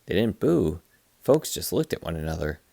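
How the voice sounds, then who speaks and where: A man reading a children's picture book aloud like a teacher, in an expressive, playful way. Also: low voice, normal speed, gravelly, no room sound. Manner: expressive, playful, acts out sound effects.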